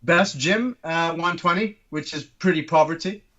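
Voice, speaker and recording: A man's voice: fairly high pitch, normal speed, sharp tone, muffled recording. A man in his thirties from Turkey, guest on a casual podcast, explaining things with many ums and uhs. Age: 30s. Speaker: guest orthopaedic surgeon.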